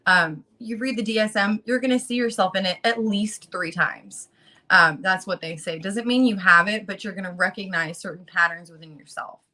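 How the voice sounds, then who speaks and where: A woman in her late twenties who just woke up, talking earnestly and haltingly, with many ums and you-knows, partly reading resources aloud. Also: medium pitch, pushed and bright. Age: late 20s. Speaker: guest speaker on a channel about fighting child protective services.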